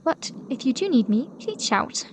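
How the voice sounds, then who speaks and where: A woman plays a high-voiced young lady in a period audio drama. She is kind, proper and solicitous, speaking politely over faint background noise. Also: sing-song, moderate pace.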